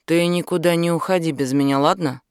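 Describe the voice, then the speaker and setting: A woman's voice: sits low, steady tone, a little fast, darkish tone, clean tone, dry recording, clean studio sound, natural voice. A woman reading an audiobook, voicing a blunt, commanding and protective man who explains things firmly. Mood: firm, concerned. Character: angry, protective British man, outdoorsy and knowledgeable. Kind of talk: audiobook character dialogue.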